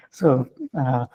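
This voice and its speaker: Hoarse, halting Indian man lecturing. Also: mid-range voice, mellow, rough recording. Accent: Indian English. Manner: halting, many uh fillers and restarts. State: getting hoarse. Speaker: guest lecturer. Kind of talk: online faculty workshop lecture.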